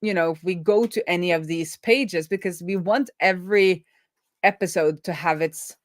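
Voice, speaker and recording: A woman's voice: on the low side, normal speed, no echo, clean studio sound. A woman hosting a live coding stream in casual chat, informally thinking aloud as she explains. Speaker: live stream host, web developer.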